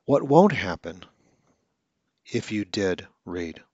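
A man's voice: lowish voice, very animated, slow pace, darkish tone, no echo, dull audio. A man who stutters now and then, hosting a podcast and coaching listeners in an earnest, instructional monologue.

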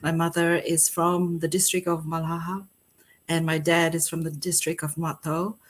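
A woman from Fiji, a poet speaking as a guest in a casual interview, thoughtful and measured, laughing easily. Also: low voice, little pitch movement.

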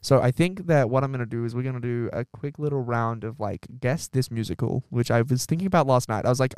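A man hosting a podcast, telling animated stories in casual chat and swearing freely. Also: medium pitch, no echo, studio quality. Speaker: podcast host.

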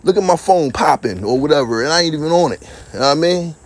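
Rambling streetwise man, high voice. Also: expressive, fast talker. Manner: streetwise, rambling, repetitive, boastful. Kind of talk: rambling monologue to camera. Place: outdoors in his yard.

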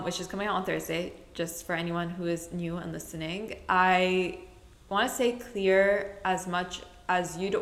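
A woman's voice: on the low side, a bit bright. A woman from the Middle East hosting a casual solo podcast, chatty and rambling, often correcting herself mid-sentence. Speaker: podcast host. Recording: roomy echo.

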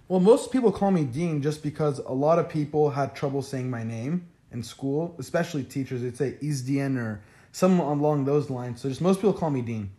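A young man in his first year of college answers questions in a relaxed, talkative way, often leaning on "like." His voice is warm and mellow, and his first language is Arabic. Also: medium pitch, a little fast, few pauses. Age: young adult. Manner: chatty, frequent "like" fillers. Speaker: interviewee, college freshman. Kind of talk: casual interview answers.

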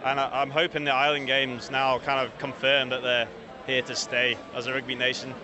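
A man chats easily, with dry, self-deprecating humor. His voice has a middling pitch. A loud crowd surrounds him outdoors. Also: little pitch movement, moderate pace. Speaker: rugby fan interviewed. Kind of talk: casual chat.

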